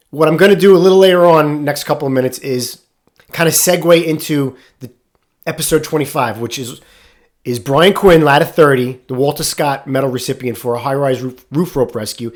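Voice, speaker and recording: Congested new guy, middle-aged, kinda high voice, rambling and candid on his podcast. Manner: rambling, candid, occasional swearing. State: stuffed up from sinus infection. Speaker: podcast host, firefighter. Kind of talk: casual solo podcast talk.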